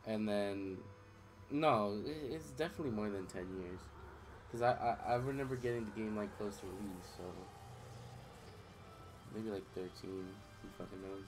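A man's voice: on the low side, moderate pace, noisy background. A young man chatting casually while streaming a video game, rambling, losing his train of thought and swearing offhand. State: voice recovering from strain. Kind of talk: casual gameplay commentary. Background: game music.

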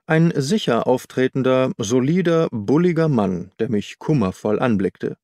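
A man reads a first-person detective story as an audiobook narrator. His mid-pitched voice is measured and articulate, recorded close and dry. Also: darkish tone, no room sound.

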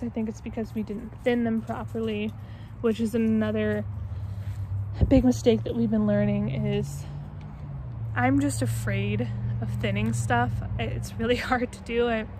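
Higher-voiced young American woman, outdoor noise. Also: fairly even intonation, moderate pace. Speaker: vlogger giving a garden tour. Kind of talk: casual unscripted vlog narration. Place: outdoors in a backyard garden. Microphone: handheld phone or camera at arm's length.

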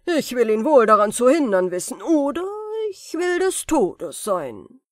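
A woman voicing a wicked, vengeful sorcerer in a fairy-tale audiobook, forceful and theatrical in delivery. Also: on the high side, sing-song, a little slow, no echo, studio quality. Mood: furious. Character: wicked vengeful sorcerer, scheming grown man.

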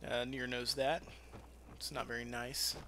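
A man's voice: medium pitch, flat delivery, a little slow, background noise. A man hosting a gaming channel, giving casual, rambling let's-play commentary with informal, sweary talk. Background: game music.

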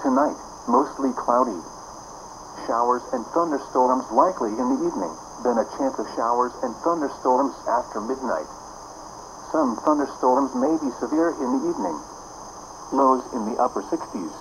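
An American male announcer reads a scripted forecast in a formal, clipped way. His pitch swings up and down and has a creaky edge. It comes over a radio with clear noise around it. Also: expressive, moderate pace. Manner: formal, clipped list-like delivery. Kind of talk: scripted weather bulletin reading. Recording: noisy radio broadcast picked up in a room.

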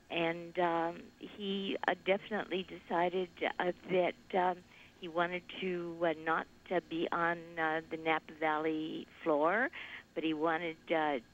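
Older lady winery owner calling in, kinda lo-fi line, lots of ums and uhs. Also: on the low side. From Southern California. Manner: halting, many fillers, soft. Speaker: winery owner, interview guest.

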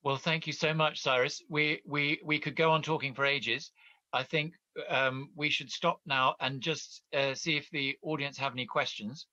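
A middle-aged man moderates an online forum interview over a video call, speaking politely and hesitantly with plenty of fillers. His voice is bright and forceful. The sound is dry and a little dull. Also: on the high side, fairly even intonation, moderate pace, no echo. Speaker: editor and interviewer, host.

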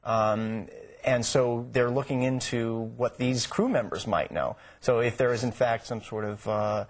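Husky, hesitant male studio guest. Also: mid-range voice, measured pace. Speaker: news show guest reporting on a case. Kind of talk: live interview commentary. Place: television news studio.

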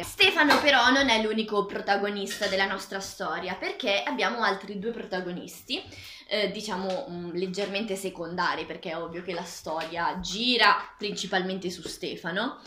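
A woman's voice: medium pitch, pushed and bright. A young woman casually reviewing a book to camera in a home kitchen, often searching for her words. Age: young adult, about 21. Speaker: vlogger reviewing a graphic novel. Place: small tiled home kitchen, indoors. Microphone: camera across the table. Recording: echoey tiled kitchen, clear background noise.

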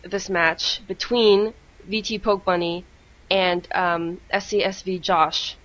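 A woman co-hosting a casual live esports stream, speaking in a somewhat hesitant, halting way. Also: lowish voice, steady tone, fairly bright tone, lo-fi sound. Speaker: esports co-caster. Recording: music playing under the voice. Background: music.

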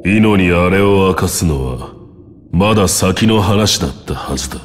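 An actor voicing a grim, weary immortal warrior in a video game, speaking in a stern, formal, measured way. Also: male voice, low voice, lively intonation, slight background noise. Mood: grave. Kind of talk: Japanese video game voice acting. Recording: dramatic music under the voice. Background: loud music.

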